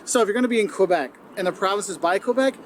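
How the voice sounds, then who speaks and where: Fast, high-voiced man giving tips. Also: slight background noise. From United States. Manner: upbeat, direct, addresses viewers. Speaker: video creator giving tips. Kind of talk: vlog tips to the camera.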